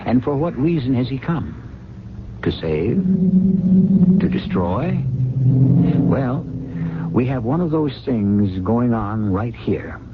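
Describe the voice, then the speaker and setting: A man narrating a 1970s radio mystery as host, in a dramatic, deliberate, rhetorical manner. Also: fairly low pitch. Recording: tinny vintage radio broadcast. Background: loud, clear music.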